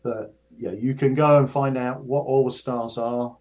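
An older man, a professor of astrophysics, explaining research in a measured way, often restarting and repeating his words. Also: mid-range voice, fairly even intonation, a little mellow. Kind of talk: casual explanatory interview. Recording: dull lo-fi sound.

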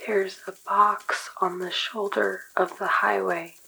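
A woman's voice: mid-range voice, level tone, slight background noise, full character voice, rough recording. A haunted young woman, whispering. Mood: trancelike. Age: young adult. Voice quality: breathy whisper. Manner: hushed, slow, trancelike. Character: haunted young woman murmuring dreamlike visions. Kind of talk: whispered inner monologue.

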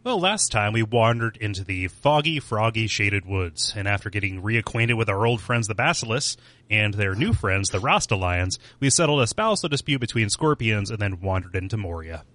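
A man's voice: on the low side, expressive, moderate pace, fairly bright tone, no echo. A man co-hosting a podcast, casual and jokey, laughing often. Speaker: podcast co-host. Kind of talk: casual chat.

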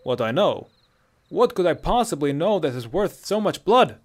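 A man voicing a furious, bitter captive Nordic bandit with a husky voice and accent, declaiming indignantly in a fantasy story. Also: fairly high pitch, very animated, normal speed, pitched above the performer's own voice. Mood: scornful, defiant.

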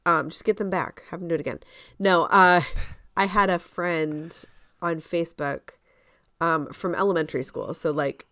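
A woman's voice: fairly low pitch, steady tone, moderate pace, a bit bright, dry recording, clean studio sound. A woman co-hosting a podcast in casual conversation, sharing opinions in an informal, fannish way. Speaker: podcast co-host. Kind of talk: casual chat about musical theatre.

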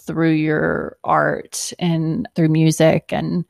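A woman hosting a conversation, speaking gently and gratefully in a soft-spoken way. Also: low-pitched, monotone, drawn-out words, creaky, no room sound, clean studio sound. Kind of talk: reflective conversation.

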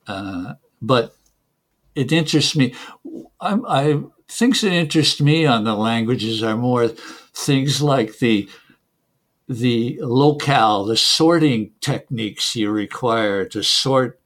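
A man's voice: medium pitch, slow pace, a bit bright. A middle-aged man from Canada, answering interview questions casually with many restarts and repeated words. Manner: hesitant, repeats words, chuckles between points. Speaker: software developer guest.

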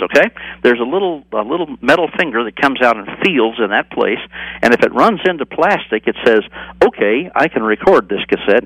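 An older American man giving halting, step-by-step explanations as a guest in an informal interview. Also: mid-range voice, fairly bright tone, dry recording, poor audio. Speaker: cassette manufacturer being interviewed.

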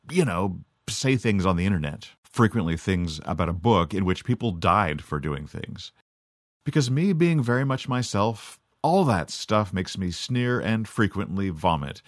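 A man's voice: lowish voice, no echo. A middle-aged man from Canada delivering a sardonic, scripted monologue as podcast host. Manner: sardonic, dry.

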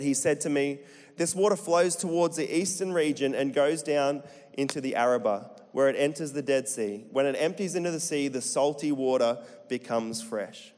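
A middle-aged Australian pastor with a slightly high voice preaches to his church. He jokes and tosses questions to the congregation. His voice rings with a long reverb through the hall. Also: darkish tone. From Central Queensland, Australia. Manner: chatty, jokey, asks the crowd questions. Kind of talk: sermon to a live congregation.

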